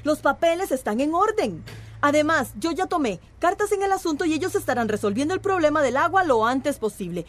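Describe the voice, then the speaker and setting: High-voiced, dismissive lady mayor played by a woman. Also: big pitch swings, a little fast. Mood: dismissive, officious. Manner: brusque, officious. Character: curt, dismissive female mayor defending the law. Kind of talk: radio drama acting.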